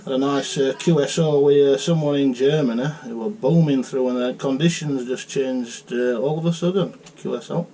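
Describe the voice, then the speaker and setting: A man with a South Yorkshire accent chatting casually and haltingly as a radio operator, full of technical jargon. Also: medium pitch, dark tone, low-quality recording.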